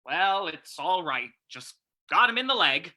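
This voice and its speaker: A man playing a blustering, gruff police commissioner in an old-time radio play. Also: high-pitched, big pitch swings, a little slow, bright, forceful tone, heavily acted. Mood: triumphant, commanding.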